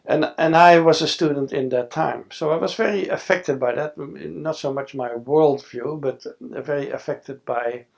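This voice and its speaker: A man's voice: on the high side, a little slow, vocal fry, hardly any pauses. A man in his sixties from the Netherlands with a Dutch accent, speaking thoughtfully in an oral history interview, with frequent fillers and restarts, recorded on a lapel mic in a studio. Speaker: interviewee, scientist. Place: studio with a backdrop.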